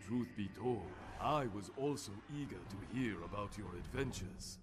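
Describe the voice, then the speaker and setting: A man playing a wise, patient old rat master in a video game, speaking formally and with measured care. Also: fairly low pitch, lively intonation, over music, lightly acted. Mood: reflective. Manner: formal, measured, gently admonishing. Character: wise, patient elderly male rat sensei. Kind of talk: video game cutscene voice acting.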